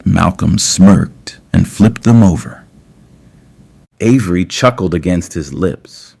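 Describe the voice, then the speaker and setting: A man with a deep voice narrates an intimate romance story. His delivery is slow and measured, with deliberate phrasing and dramatic pauses between short phrases. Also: vocal fry, darkish tone, husky.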